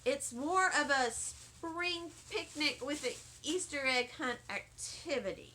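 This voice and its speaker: A patient, firm schoolmaster from a children's story, read by a woman. He corrects his pupils in a measured, unhurried way. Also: sits high, sharp tone, a little hiss or hum, exaggerated voice. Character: adult male first-grade teacher, patient but firm.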